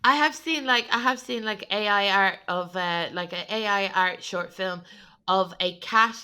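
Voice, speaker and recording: This woman has a bright, forceful tone and rambles through her stories with lots of "uh" and "like." She giggles often while chatting as a comedian guest on a podcast. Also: mid-range voice, measured pace, clean tone. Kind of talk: casual comic chat.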